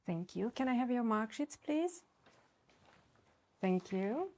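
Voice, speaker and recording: A woman acting as a speaking exam examiner, reading set questions in a measured, formal way. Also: on the high side, very animated, a little mellow.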